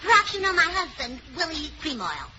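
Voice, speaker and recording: A woman's voice: very high-pitched, very animated, a little fast. A woman playing a scatterbrained wife in a 1940s radio comedy. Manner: scatterbrained, ditzy. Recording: dull lo-fi old radio recording with clear hiss.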